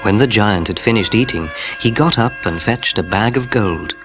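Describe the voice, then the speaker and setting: A man reading a children's story in an expressive narrating style. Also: fairly low pitch, moderate pace. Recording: music playing underneath.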